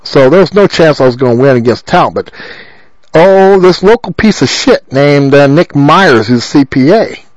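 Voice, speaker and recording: Loud rambling man, dry sound. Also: a little high, animated, moderate pace, dry recording, low-quality recording. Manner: rambling, repetitive, with many fillers. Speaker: political candidate. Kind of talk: rambling monologue.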